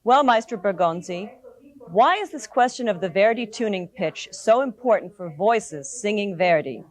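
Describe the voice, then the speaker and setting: A woman's voice: medium pitch, animated, normal speed. A woman speaking as a moderator, formally introducing guests and putting a question.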